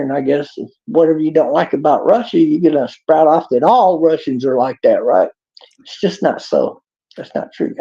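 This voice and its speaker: Texan man, folksy preachy rambler, gravelly and dark-toned. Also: fairly high pitch. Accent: Texas. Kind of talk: rambling monologue. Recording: dull lo-fi clip.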